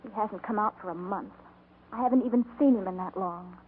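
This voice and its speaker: A woman's voice: mid-range voice, a little fast, close to an everyday voice. A woman playing a worried, earnest American frontier wife in a 1950s radio western, pleading as she speaks. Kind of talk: 1950s radio drama acting. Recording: muffled, noisy old radio recording.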